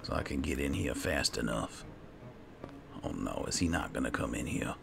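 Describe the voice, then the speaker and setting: A man doing roleplay gameplay commentary, musing to himself in a halting way. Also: very deep voice, sing-song, creaky, noisy background. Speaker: gaming host, player. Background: game music.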